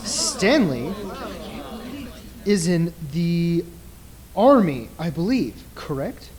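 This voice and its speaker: A man playing a commanding stage mind reader in a radio play, theatrical, deliberate and insistent. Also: a little high, sing-song, darkish tone, a faint crowd, exaggerated voice. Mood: showy.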